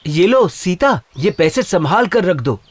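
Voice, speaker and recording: Man acting a humble, earnest husband, very lively intonation. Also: high voice, a little slow. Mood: reassuring. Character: humble, hopeful poor husband in a folktale cartoon. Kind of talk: cartoon voice acting.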